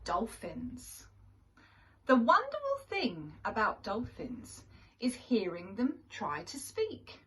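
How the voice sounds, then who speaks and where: A woman reads a children's picture book aloud like a primary school teacher, with lively swings in pitch. She takes her time, pausing deliberately for young listeners. She sounds far from the microphone. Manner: animated, careful storytelling with deliberate pauses. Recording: distant microphone.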